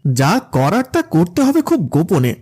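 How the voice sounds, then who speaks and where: A man's voice: high-pitched, wildly swooping pitch, measured pace, darkish tone, slightly rough, full character voice. A man voicing a careful, dutiful royal treasurer in a cartoon, formal and earnest. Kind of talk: cartoon dialogue. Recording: music under the voice.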